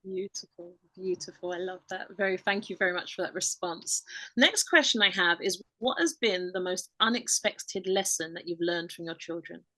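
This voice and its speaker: A woman's voice: on the low side, normal speed, low-quality recording. A woman hosting a podcast interview, affirming her guest and asking the next question. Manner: warmly affirming, asks questions. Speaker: podcast host.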